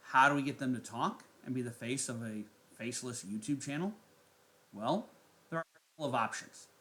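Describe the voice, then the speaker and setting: A man narrating a step-by-step software tutorial as its host. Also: medium pitch.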